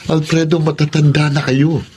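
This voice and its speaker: An actor playing a frank, encouraging confidant with a husky voice in a Filipino radio drama. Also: male voice, fairly high pitch, flat delivery, moderate pace, noisy background, lightly acted. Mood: insistent. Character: older man's frank, encouraging male confidant.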